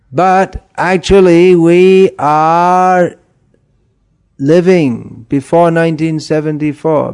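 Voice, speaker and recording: A middle-aged man giving an informal spiritual talk in a gentle way, chuckling and putting questions to his listeners. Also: a little high, creaky. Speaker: spiritual teacher.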